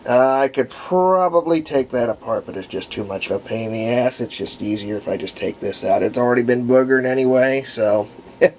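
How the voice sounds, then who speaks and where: Male hobbyist restorer, mid-range voice, casual and halting folksy narration at a workbench, faintly noisy handheld camera sound. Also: mid-range voice, lively intonation, darkish tone. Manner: halting, thinking aloud, folksy wording. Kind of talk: casual hands-on repair commentary. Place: indoor workbench.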